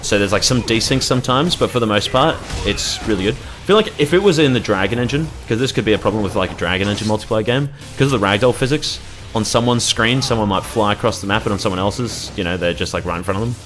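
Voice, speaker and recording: A man's voice: on the low side, quick pace, few pauses, a bit bright, faint music behind. A young man casually commentating his gameplay, rambling and swearing freely as he reacts to the game. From Australia. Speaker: gaming YouTuber.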